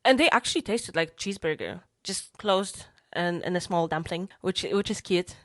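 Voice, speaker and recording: A woman's voice: on the low side, normal speed, a bit bright, dry recording, studio quality. A young woman co-hosting a podcast in casual chat, talking in a chatty way with restarts and repeated words. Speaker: podcast co-host.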